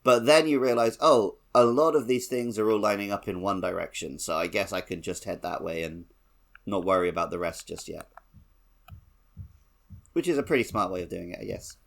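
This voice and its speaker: A man's voice: deep voice, normal speed, vocal fry. A man co-hosting a casual video game podcast in a chatty, tongue-in-cheek way. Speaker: podcast co-host.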